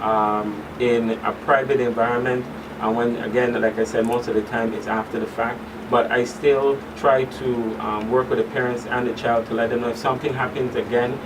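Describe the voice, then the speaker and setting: Man, counselor as interview guest, measured explanations, mid-range voice, off-mic with clear background noise. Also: medium pitch, little pitch movement, far from the mic. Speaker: guest expert, professional counselor. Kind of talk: radio talk show interview.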